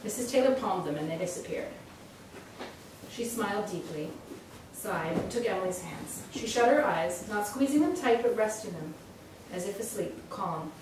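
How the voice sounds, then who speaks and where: A woman's voice: mid-range voice, animated, normal speed. A woman reading a literary short story aloud at a live salon event. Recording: very echoey room, distant mic, faint noise.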